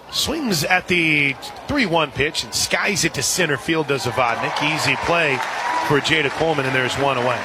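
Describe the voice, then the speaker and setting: A man calling softball play-by-play on the radio, conversationally filling in scores and details between pitches. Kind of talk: live softball radio commentary. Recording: outdoor stadium crowd behind the voice. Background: crowd noise.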